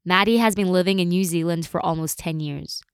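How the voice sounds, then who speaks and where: A woman narrating a scripted introduction in a calm, measured way. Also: lowish voice, slight background noise. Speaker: podcast narrator.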